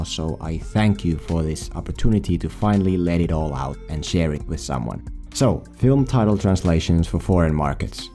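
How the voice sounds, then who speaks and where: A man's voice: deep voice, warm tone. A man who is not a native English speaker, giving sarcastic, mock-dramatic scripted commentary as a film video host. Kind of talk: scripted comedic voice-over commentary.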